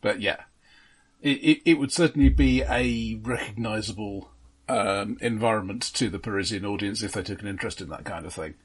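A man's voice: on the low side, a little fast, slightly rough, poor audio. A man co-hosting a podcast, casually discussing films in a wry, precise manner, often qualifying what he says. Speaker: podcast co-host.

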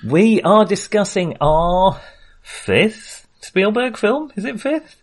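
A man's voice: sits high, very animated, slow pace. Male podcast host, middle-aged, for informal movie discussion with a joking, self-mocking manner. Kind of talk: casual film discussion.

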